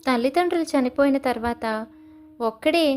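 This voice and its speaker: A woman's voice: high voice, moderate pace, darkish tone. A woman storyteller reading scripted narration for a moral story.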